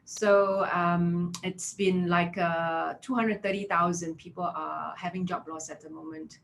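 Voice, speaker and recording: Casting: Malaysian-accented woman, 30s, HR career coach, lowish voice, chatty, faint hiss behind. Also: steady tone, measured pace, creaky, fairly bright tone, rough recording. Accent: Malaysian English. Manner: chatty, filler-heavy, affirming with "yeah" and "right?". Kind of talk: webinar presentation.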